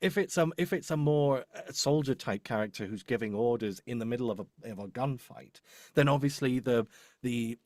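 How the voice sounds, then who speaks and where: A man's voice: medium pitch, normal speed, darkish tone, no room sound, clean studio sound. A man explaining his craft as a demo producer in a live workshop, emphatic and often repeating and reworking his phrases. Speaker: voice-over demo producer and script writer.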